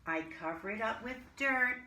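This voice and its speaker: A woman teacher reading and explaining to young children in simple, deliberate language with questions. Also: fairly high pitch, expressive, pushed and bright. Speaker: early-grade teacher reading a picture book aloud to children. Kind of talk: storybook read-aloud and children's lesson. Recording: roomy echo, clear background noise.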